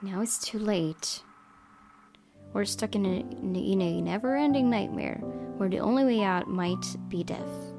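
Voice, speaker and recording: A woman hosting a gaming video, reading visual novel narration aloud in a measured, dramatic way. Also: mid-range voice. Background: game music.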